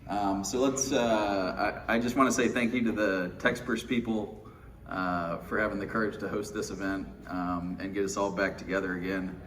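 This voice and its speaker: A man's voice: fairly low pitch, fairly even intonation, moderate pace, hardly any pauses, a bit bright. A middle-aged man from Texas with an American accent, giving an informal, wry keynote speech. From Houston, Texas, United States. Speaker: keynote speaker. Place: windowless indoor conference room. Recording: distant mic, faint noise, room ambience.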